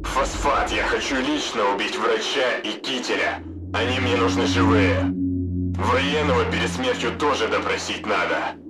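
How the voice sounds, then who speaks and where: A man's voice: medium pitch, moderate pace, over loud music. A man voicing a cold, ruthless gang commander in a cartoon, curt and commanding, heard through a radio effect. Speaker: voice actor. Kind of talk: cartoon dub acting.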